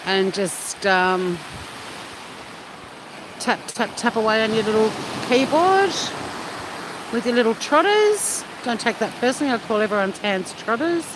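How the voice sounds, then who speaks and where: A woman with an Australian accent chatting casually and cheekily as a live stream host, replying to viewers outdoors at the beach. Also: medium pitch. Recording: loud steady wind or surf noise.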